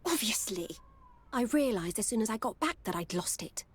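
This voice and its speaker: Guarded, world-wise game courtesan. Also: female voice, a little high, expressive, normal speed, slightly rough. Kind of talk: video game voice acting.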